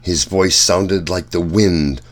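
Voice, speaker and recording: A man reading a children's story aloud as narrator, with deliberate, measured phrasing. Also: low-pitched.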